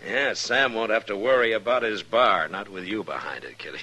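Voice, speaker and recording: A man playing an easygoing but wary frontier marshal in a radio western, deep-voiced, with a halting, understated delivery. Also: sharp tone, slight background noise. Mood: kindly. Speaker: lead actor and narrator. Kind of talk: 1950s radio western acting.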